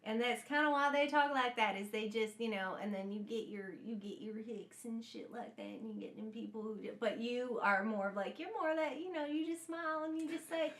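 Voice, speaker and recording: A young woman hosting a podcast, telling stories in a lively way and acting out voices and sounds as she talks. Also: fairly high pitch, brisk, few pauses. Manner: animated storyteller, acts out voices and sounds. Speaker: podcast host and actor. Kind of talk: casual chat. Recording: echoey room.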